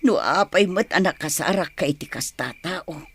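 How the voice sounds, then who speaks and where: A woman playing an anxious, protective mother of a grown son in an audio drama, husky, fretful and pleading. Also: on the low side, animated, normal speed, a little hiss or hum. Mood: worried. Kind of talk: Ilocano audio drama acting.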